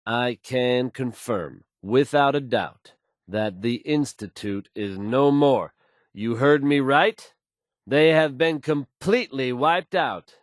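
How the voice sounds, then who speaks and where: An actor playing a confident, smooth male radio news host in a video game, folksy and dramatic in his announcing. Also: mid-range voice, takes it slowly, dry recording, studio quality, lightly acted. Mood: assured, satisfied.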